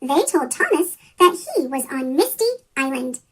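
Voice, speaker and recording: A children's story reader with a pitch-shifted chipmunk voice, reading a picture book aloud from a script. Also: very animated, normal speed. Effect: sped-up chipmunk pitch shift.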